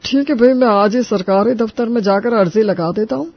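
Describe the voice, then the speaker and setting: A man's voice: high-pitched, fairly quick, no echo, muffled recording. A man playing a boastful, stubborn but gullible elderly father-in-law in a folksy cartoon moral story. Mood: obliging.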